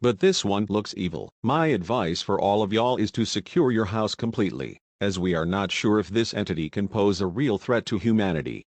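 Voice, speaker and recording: A man's voice: lowish voice, lively intonation, brisk, hardly any pauses, dry recording. A man playing a folksy TV news host delivering grave warnings in a mock breaking-news broadcast. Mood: serious, concerned. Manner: folksy, addresses viewers as y'all.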